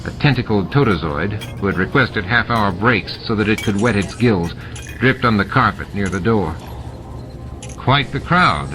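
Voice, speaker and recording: A man's voice: on the low side, moderate pace. A man narrating scripted fiction as an audiobook reader. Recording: music and sound effects mixed under narration.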